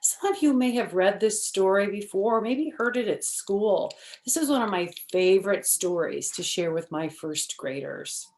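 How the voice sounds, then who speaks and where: A woman storyteller reading a picture book aloud for children in an expressive, deliberate, teacherly way. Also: mid-range voice, slight background noise. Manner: expressive, deliberate, simple phrasing. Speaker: storyteller, first-grade teacher.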